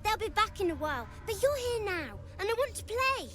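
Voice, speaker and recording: A demanding little boy from a fantasy game, with a piping, very high voice. He is bratty, insistent and slightly eerie, his lines lively in pitch over faint music. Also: a little fast, full character voice. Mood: petulant, demanding. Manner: petulant, insistent, childlike. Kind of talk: video game character acting.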